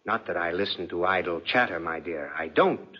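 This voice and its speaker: Casting: a suspicious lordly husband, silkily polite and menacing, husky, in muffled vintage radio drama. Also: male voice, low-pitched. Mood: veiled menace. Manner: silky, deliberate, insinuating politeness. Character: suave, suspicious, menacing husband, an aristocratic lord. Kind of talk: 1940s radio acting. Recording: dull, muffled 1940s radio recording.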